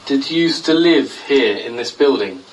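A man's voice: medium pitch, expressive, moderate pace, far from the mic, slight background noise, natural voice, low-quality recording. Man as an earnest ghost hunter, off-mic. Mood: earnest, calling out. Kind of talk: audio drama acting.

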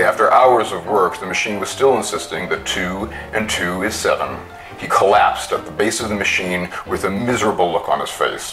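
A man giving a theatrical story reading with deliberate emphasis. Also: low-pitched, fairly bright tone, poor audio. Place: small indoor room with bookshelves.

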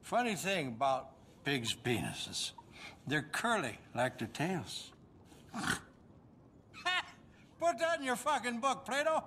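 A washed-up old gunslinger for a western video game. He sounds drunk, bitter and scornful, with a high, very animated voice over noticeable background noise. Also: takes it slowly, long pauses, exaggerated voice. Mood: crude, scornful. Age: older. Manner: curmudgeonly, contemptuous. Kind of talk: cinematic game dialogue acting.

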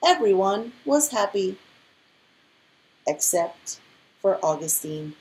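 A woman reading a children's picture book aloud in an expressive storytelling style. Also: mid-range voice, slow pace, low-quality recording. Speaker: storybook reader.

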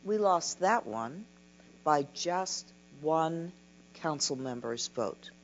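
An older woman giving a keynote lecture from a podium, reading a prepared talk in a slow, measured way. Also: low voice, animated. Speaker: keynote speaker. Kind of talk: scripted conference lecture. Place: dim lecture hall at a podium. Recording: dull lo-fi recording with mains hum.

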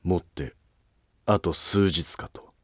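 A man's voice: low-pitched, slow, breathy edge, dry recording, studio quality, pitched below the performer's own voice, full character voice. A man playing a loyal, earnest court official with humble, formal speech in a historical drama. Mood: earnest, cautious. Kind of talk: scripted historical drama dialogue.

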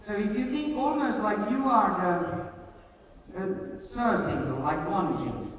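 A middle-aged woman working as a riding coach, explaining and demonstrating in a hands-on way at a live clinic. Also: mid-range voice. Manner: hands-on, explanatory, repeating short commands. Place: large indoor riding arena. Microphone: picked up from far camera in audience. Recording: distant, very echoey arena, background noise.

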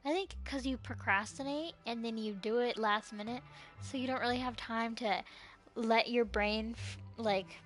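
A woman's voice: a little high, normal speed, faint music behind. A young woman chatting casually as she streams a video game. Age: teens to young adult. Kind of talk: casual chat while gaming.

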